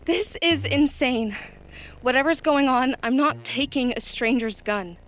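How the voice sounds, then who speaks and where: An actress playing a bewildered, reluctant new office worker in an audio drama, hesitant and a little indignant. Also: female voice, sits high, measured pace, a bit bright. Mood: flustered. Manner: hesitant, flustered, wryly indignant. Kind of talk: naturalistic audio drama acting.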